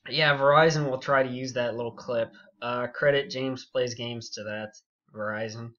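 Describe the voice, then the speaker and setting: A young man telling a story casually and unscripted, rambling and halting, with frequent asides. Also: mid-range voice, fairly even intonation, a bit bright. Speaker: YouTube storyteller. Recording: dull, muffled lo-fi audio.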